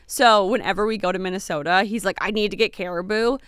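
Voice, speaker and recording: A woman's voice: medium pitch, fast, a bit bright, smooth, dry recording, studio quality. A woman in her late twenties co-hosting a podcast, chatting casually with lots of fillers and little laughs. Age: late 20s. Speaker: podcast co-host. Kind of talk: casual chat.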